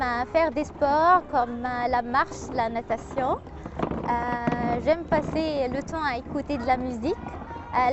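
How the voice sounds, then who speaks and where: A young Egyptian woman whose first language is Arabic speaks in a high voice, pausing often and filling gaps as she answers. The sound is dull and lo-fi, like an old TV broadcast, with faint music underneath. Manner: hesitant, many pauses and fillers. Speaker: guest, French teacher. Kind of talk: interview answers.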